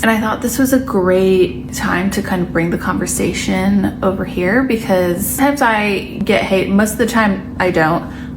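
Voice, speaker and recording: A woman talking casually to camera in a chatty, explanatory way, like a lifestyle creator. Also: fairly low pitch. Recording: noisy background.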